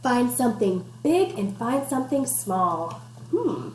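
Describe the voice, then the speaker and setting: Slow, sing-song woman reading to children. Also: fairly high pitch, big pitch swings. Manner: encouraging, addresses children directly with questions and instructions. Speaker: teacher reading aloud to children. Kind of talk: interactive children's picture-book read-aloud. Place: at home, indoors. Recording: echoey room with faint background noise.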